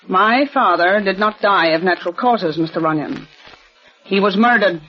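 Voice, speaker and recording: A woman's voice: mid-range voice, normal speed, lightly acted. An actress in 1940s radio style playing a formal, insistent wealthy woman of forty. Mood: tense. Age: 40s. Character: tense, proper wealthy eldest daughter. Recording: old lo-fi radio recording.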